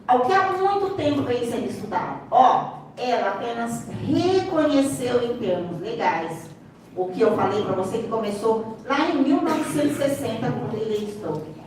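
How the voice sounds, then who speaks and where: A woman's voice: on the high side, very animated, normal speed, darkish tone. A Brazilian woman giving an interactive lecture in Brazilian Portuguese, teaching emphatically with rhetorical questions and repeated key points. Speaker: seminar speaker, sign language teacher and interpreter. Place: large echoey room, far from the mic. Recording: echoey hall, distant microphone, clear background noise.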